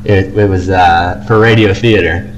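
Guy with a lowish voice gushing on a casual fan podcast, bit of background noise. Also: fairly even intonation, a little slow. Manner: enthusiastic, effusive. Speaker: podcast co-host. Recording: noisy amateur podcast recording.

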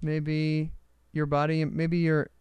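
This somewhat high-voiced man co-hosts a joking chat and plays a pushy, silly interviewer. His voice is smooth and clear. The recording is dry, with no room sound. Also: completely flat delivery, slow, darkish tone, no echo. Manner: silly, probing, mock-interviewer. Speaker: co-host. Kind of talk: casual comedic chat.

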